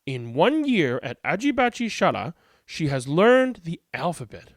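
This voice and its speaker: A man's voice: fairly high pitch, big pitch swings, measured pace, dry recording, clean studio sound. A man hosting a read-aloud, reading picture books expressively and commenting informally. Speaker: read-aloud host and storyteller.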